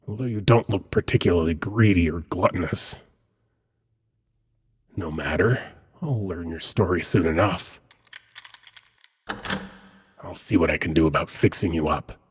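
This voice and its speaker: A man playing a suave, haughty demon prince in a dramatic audio roleplay, speaking in a husky, measured, courtly and condescending manner. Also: sits low. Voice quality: husky, breathy. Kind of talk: dramatic ASMR roleplay monologue.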